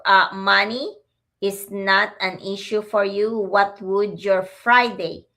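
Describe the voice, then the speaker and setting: Slow bright-voiced Filipino woman. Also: medium pitch, fairly even intonation. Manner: friendly, speaks straight to viewers, laughs easily. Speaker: livestream co-host. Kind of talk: casual livestream chat.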